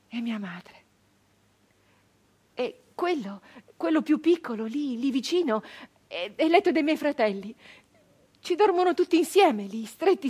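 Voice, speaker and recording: An actress playing a wistful nineteenth-century peasant brigand woman in a halting, emotional theatrical monologue, with a husky voice. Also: a little high, darkish tone. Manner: hesitant repetitions, halting, emotional reminiscence. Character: 19th-century Italian peasant-born brigand woman, wistful, remembering home. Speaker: stage actress. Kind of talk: theatrical dramatic monologue for radio.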